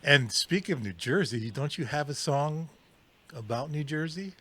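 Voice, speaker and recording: A man hosting a radio show, enthusiastically praising his guest with many halting restarts. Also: fairly high pitch, lively intonation, pushed and bright, slightly rough. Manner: enthusiastic, gushing, halting with fillers. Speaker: radio DJ host. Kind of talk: casual interview. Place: California.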